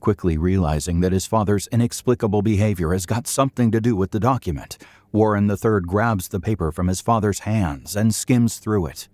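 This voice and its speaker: A man narrates a scripted audio drama in a low, mellow voice. The delivery is polished and suspenseful, captured very dry. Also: a little fast, no room sound.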